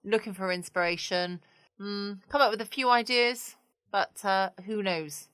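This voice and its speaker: A woman's voice: medium pitch, unhurried, a bit bright, smooth, no room sound. A woman chatting casually and wryly as the host of a late-night bedtime podcast. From United Kingdom.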